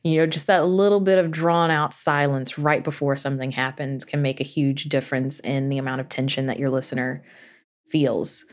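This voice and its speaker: A woman's voice: a bass voice, fairly even intonation, moderate pace. A woman speaking as an interview guest, explaining her thoughts casually and peppering them with "you know.". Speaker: podcast creator and narrator, interview guest.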